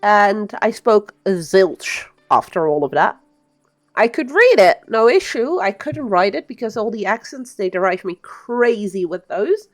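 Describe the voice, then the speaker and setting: A woman's voice: mid-range voice, big pitch swings, measured pace, faint music behind. A woman from the part of Belgium, chatting casually as a game streamer and rambling through explanations. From Belgium, Flemish-speaking part. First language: Dutch (Flemish). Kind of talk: casual live-stream commentary.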